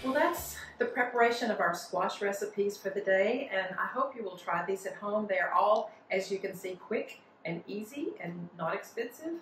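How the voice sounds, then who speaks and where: A woman's voice: mid-range voice, animated, normal speed, hardly any pauses, a bit bright, soft background music. A woman in her sixties giving a friendly cooking lesson in her home kitchen, explaining as she gestures. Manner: friendly explanatory teaching, gestures while talking. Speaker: cooking instructor. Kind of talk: cooking demonstration. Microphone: camera at mid distance. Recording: roomy kitchen echo.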